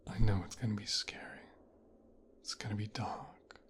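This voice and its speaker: A man playing a possessive, smooth-talking son of a mafia boss in an intimate, hushed ASMR audio roleplay. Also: fairly low pitch, little pitch movement, lightly acted. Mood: soothing, possessive. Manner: slow, hushed, half-whispered delivery with deliberate pauses.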